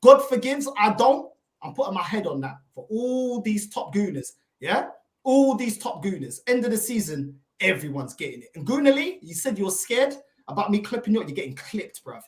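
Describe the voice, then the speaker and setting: A woman on a fan panel, venting with fiery scorn, dropping Portuguese curses mid-rant. Her voice bounces around a roomy, echoey space. She sits at an everyday middle pitch and speaks over a remote call. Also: sing-song, normal speed. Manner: mocking, fiery, mixes in Portuguese insults. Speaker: football panel guest.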